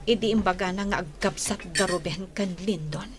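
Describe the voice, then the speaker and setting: A woman's voice: mid-range voice, normal speed, lightly acted. A woman with an Ilocano Filipino accent playing a guarded, troubled young lady in a radio drama. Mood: troubled, resolute. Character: guarded young lady, wary and troubled over her beloved.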